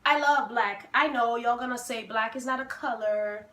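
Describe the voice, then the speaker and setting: A young woman from Haiti with a high, bright voice chats at an easy, unhurried pace. She is playful and self-assured and laughs often. The room adds a noticeable echo. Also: slight background noise. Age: 20s. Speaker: vlogger host. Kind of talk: casual sibling Q&A chat.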